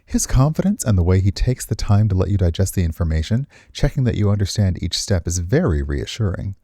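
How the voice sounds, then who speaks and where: A man's voice: low voice, very animated, brisk, mellow, no room sound, clean studio sound. A man reading visual novel text aloud expressively as a game streamer, slipping into casual commentary.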